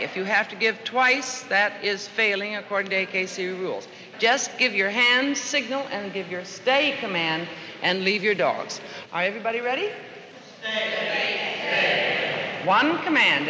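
An American woman briskly instructing a class and calling out commands in a large hall. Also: medium pitch, sharp tone, slight background noise. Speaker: dog obedience instructor. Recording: echoey hall, old radio field recording.

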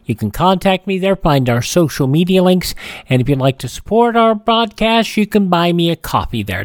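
Lively higher-pitched male radio host. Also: dry recording, clean studio sound. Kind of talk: scripted radio presenting.

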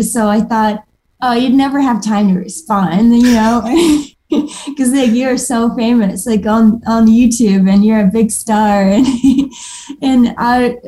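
Bright-voiced woman, chatty. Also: on the high side, a little hiss or hum, poor audio. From Moldova. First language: Moldovan and Russian. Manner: chatty, laughs often, many restarts. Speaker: guest artist. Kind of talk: casual conversation.

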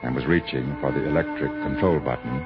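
A man's voice: a bass voice, steady tone, fairly quick. A man narrating a scripted 1960s radio drama in a florid, theatrical storytelling style. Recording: muffled old radio broadcast with music.

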